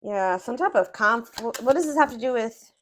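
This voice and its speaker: A woman's voice: fairly high pitch, lively intonation, normal speed, slight background noise. A woman giving a casual, improvised tarot reading, thinking aloud and rephrasing herself as she goes. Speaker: tarot reader.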